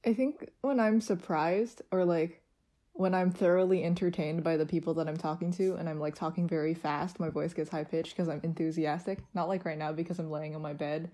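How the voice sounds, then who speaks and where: A teenage girl chatting casually with friends on a podcast while lying on her bed, relaxed and rambling. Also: deep voice, a little fast. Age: teens. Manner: relaxed, rambling, laughs often. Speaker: podcast co-host. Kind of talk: casual chat among friends.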